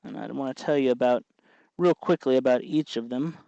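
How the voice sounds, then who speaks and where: A man hosting an informal electronics tutorial, explaining things off the cuff in a halting way as he thinks aloud. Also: mid-range voice, creaky, warm tone, no echo, lo-fi sound. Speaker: host of an electronics tutorial show. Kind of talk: unscripted hands-on tutorial narration.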